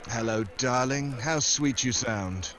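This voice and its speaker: An actor playing a glib, flirtatious American secret agent in a 1940s-style radio drama, smooth and wisecracking. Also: male voice, medium pitch, takes it slowly, heavy background noise, close to an everyday voice. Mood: flirty, evasive.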